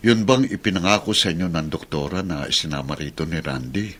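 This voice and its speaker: Low-voiced earnest Filipino uncle. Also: male voice, animated, fairly quick, fairly bright tone, soft background music. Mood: earnest, relieved. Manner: earnest, admonishing. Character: principled, reproving uncle, grateful for kindness. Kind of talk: Filipino radio drama acting.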